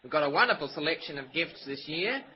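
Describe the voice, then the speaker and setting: A man acting an affable country storekeeper in a vintage radio serial. His voice is high for a man, full of obliging sales talk, on a muffled recording with clear hiss. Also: expressive, fast, natural voice. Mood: hearty, obliging. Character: genial, busy male country store manager. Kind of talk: 1930s Australian radio comedy serial acting.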